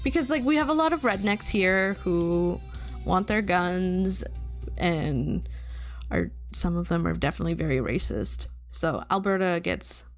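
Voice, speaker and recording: Slow-talking, giggly woman from Canada. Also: lowish voice, expressive, soft background music. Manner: chatty, giggly, reads chat aloud. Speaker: interview host, streamer. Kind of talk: casual online chat.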